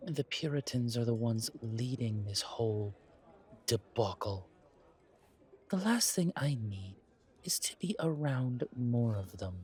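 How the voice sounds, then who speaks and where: A man playing a genteel, world-weary vampire in an audio roleplay, speaking in a courtly, deliberate way with pauses and sighs. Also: mid-range voice, lively intonation, a little mellow. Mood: wary, puzzled. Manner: courtly, deliberate, pausing, sighing between phrases. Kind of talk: slow audio roleplay acting, addressed to listener.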